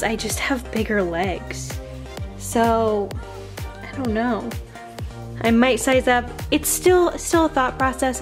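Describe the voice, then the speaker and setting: A woman in her twenties chatting casually to a vlog camera at home, informal, slangy and enthusiastic. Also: on the high side. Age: 20s. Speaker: vlogger. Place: indoors at home, kitchen, bedroom and living room.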